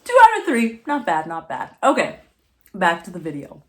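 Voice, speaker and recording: A woman's voice: on the low side, wildly swooping pitch, normal speed, fairly bright tone. A woman, chatting playfully to camera while snacking and laughing often. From Montreal, Canada. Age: 20s. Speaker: vlogger. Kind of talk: casual chat to camera while eating.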